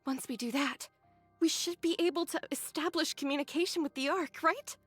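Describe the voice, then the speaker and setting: A woman acts as a gentle young religious soldier girl from a video game, mourning and solemn. Her voice is high and soft, unhurried and pensive, recorded very dry over background music. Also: no echo, close to an everyday voice. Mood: gently resolved. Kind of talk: dramatic video game voice acting.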